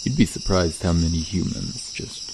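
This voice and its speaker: A man voicing a curious, gentle, shy mer-shark in an ASMR roleplay, speaking casually and hesitantly, often trailing off. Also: low-pitched, big pitch swings, normal speed, gravelly, background noise, full character voice. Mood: friendly, curious. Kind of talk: ASMR roleplay, soft conversational acting to the listener. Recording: nature ambience with chirping insects. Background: crickets and outdoor night ambience.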